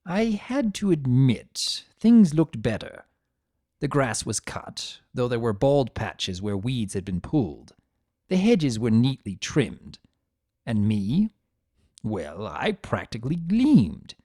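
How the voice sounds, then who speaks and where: A wry male trickster spirit acted out by a story reader, narrating his own tale. The mellow voice swings widely in pitch, sarcastic and grumbling, with theatrical flair. The sound is close and dry. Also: very animated, slow pace, dry recording. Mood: amused, dry. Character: Discord, sardonic, grumbling male spirit of chaos turned statue. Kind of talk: first-person dramatic storytelling.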